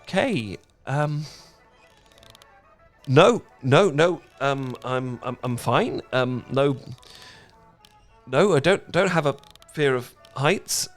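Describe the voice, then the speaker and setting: A man's voice: mid-range voice, lively intonation, soft background music. A man playing an awkward, anxious, smitten British dork in a romantic ASMR roleplay, stammering and apologetic as he goes. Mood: nervous, halting. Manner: stammering, flustered, halting, apologetic.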